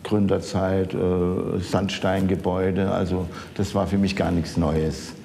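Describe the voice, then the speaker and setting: Old man, very deep mellow voice, halting recollections, conversational. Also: level tone, gravelly. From Saarland, Germany. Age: older, 70s or 80s. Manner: halting, searching for words. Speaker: architect interviewed as an eyewitness. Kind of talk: conversational reminiscence. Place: large office archive room, indoors. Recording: echoey room with rumbling background noise.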